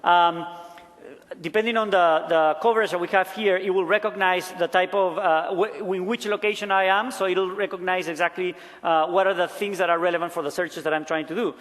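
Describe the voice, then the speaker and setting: High-voiced Peruvian man in a reverberant hall. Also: steady tone, a little fast, fairly bright tone. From Peru. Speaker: product demonstrator. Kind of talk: live product demo presentation. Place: large conference hall stage. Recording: echoey large conference hall.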